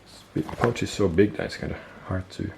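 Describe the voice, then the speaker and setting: Low gravelly man with a stuffy nose. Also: fairly quick, noisy background. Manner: relaxed, thinking aloud with fillers and self-corrections. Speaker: military gear collector and video host. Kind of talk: casual show-and-tell explanation.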